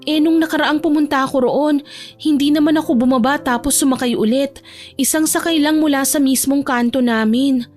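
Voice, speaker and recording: A woman's voice: high-pitched, moderate pace, a little mellow, faint music behind, pitched above the performer's own voice, lightly acted. A woman voicing a shy, hesitant young provincial woman in an audio romance drama, polite and unsure. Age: young adult.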